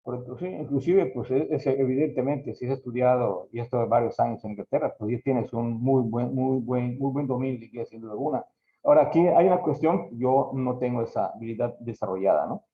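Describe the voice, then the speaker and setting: Professor, echoey muffled sound. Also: male voice, mid-range voice, fairly quick. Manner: formal, wordy questions. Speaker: professor asking questions. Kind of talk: conference Q&A.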